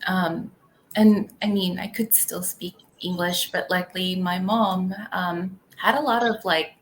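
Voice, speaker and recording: A woman's voice: on the low side, steady tone, measured pace, slight background noise, poor audio. A young woman from California whose first language was Tagalog, chatting as a podcast guest and rambling with many fillers. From California, United States. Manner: rambling, heavy on "like" and "um". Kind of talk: casual interview chat.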